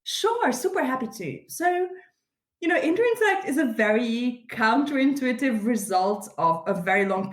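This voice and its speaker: A woman from Hungary, an animated podcast guest who laughs easily and fills her talk with "like" and "you know", speaking over a video call. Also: medium pitch. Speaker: guest, community founder. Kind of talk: casual interview chat. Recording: echoey room on a video call.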